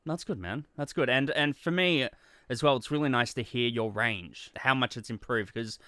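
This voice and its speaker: Bright-voiced man hosting a casual interview. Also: mid-range voice, moderate pace, no room sound, studio quality. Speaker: interviewer and series host.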